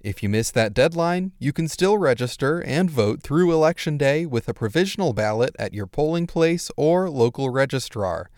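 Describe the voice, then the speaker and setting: Male American news reporter, medium-pitched, scripted read in a dead-dry booth. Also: darkish tone, no room sound.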